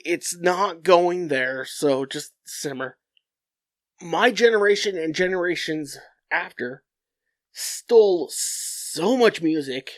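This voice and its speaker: A man's voice: a little high, no echo. A young adult man, stuffed-up and nasal, hosting a podcast as a blunt, profane solo rant that rambles and restarts. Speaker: podcast host.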